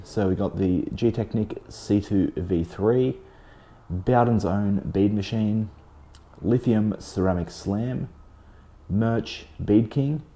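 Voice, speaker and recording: A man hosting a car detailing vlog, talking casually about a product test while losing his voice, a little hoarse, with plenty of "um" and "so yeah". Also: lowish voice. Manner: relaxed, chatty, frequent "um" and "so yeah". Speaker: car detailing channel host. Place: outdoors beside a test panel.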